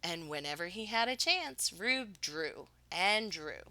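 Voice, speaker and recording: A woman reading a picture book aloud like a teacher, expressively, with dramatic pauses and emphasis on key words. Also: fairly low pitch, lively intonation, bright, forceful tone, no echo.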